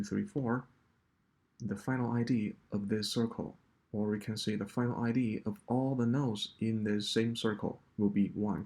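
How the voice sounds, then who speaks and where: Man, programming tutor, gravelly voice, halting step-by-step explanation. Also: fairly low pitch, warm tone. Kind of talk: walkthrough of a coding solution.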